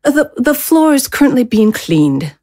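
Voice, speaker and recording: A woman's voice: high voice, very animated, moderate pace, a little mellow. Woman as a polite, nervous hotel attendant. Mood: nervous, evasive. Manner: deferential, hesitant. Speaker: actor in an audio drama. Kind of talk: dramatic audio fiction acting.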